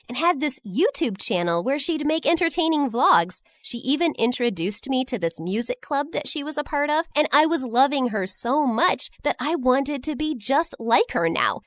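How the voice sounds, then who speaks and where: A woman narrating a scripted first-person story in an expressive, dramatic teenage-girl style. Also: high voice, no room sound.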